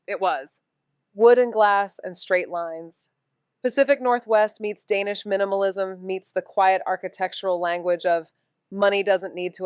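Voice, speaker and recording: An actress plays a young female amateur detective in a neo-noir audio drama. Her voice is smooth and clear, recorded close and dry. She is wry and deadpan, the joker of her team. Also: mid-range voice, no room sound, close to an everyday voice.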